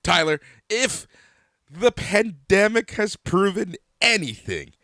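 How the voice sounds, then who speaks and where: Male podcast host, upbeat and chatty, lively delivery, dry close sound. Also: high voice, slow, no room sound. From Canada. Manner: upbeat, chatty, laughs easily. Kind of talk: casual podcast chat.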